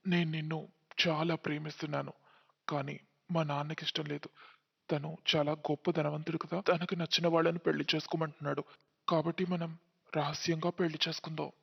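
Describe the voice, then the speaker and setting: A man playing a greedy, cunning young liar in a cartoon moral story, talking in a smooth, scheming manner. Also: on the high side, flat delivery, a little fast, big-room sound, highs cut off. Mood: smooth deceit. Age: young adult.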